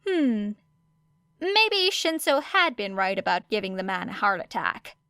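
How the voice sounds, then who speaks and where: A woman's voice: medium pitch, very animated, unhurried, pushed and bright, smooth, dry recording, clean studio sound. A woman reading scripted fiction as an audiobook narrator.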